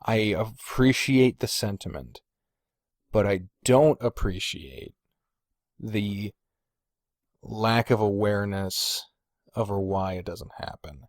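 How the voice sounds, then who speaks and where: A man's voice: lowish voice, takes it slowly, long pauses, dry recording. Candid man rambling casually, dry close sound. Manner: candid, hesitant, self-qualifying, swears casually. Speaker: podcast host and video creator. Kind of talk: casual rambling podcast talk.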